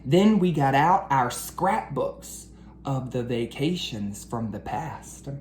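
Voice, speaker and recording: A woman reading a storybook aloud to children in an expressive, deliberate, sing-song way. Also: extremely low pitch. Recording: roomy echo with faint background noise.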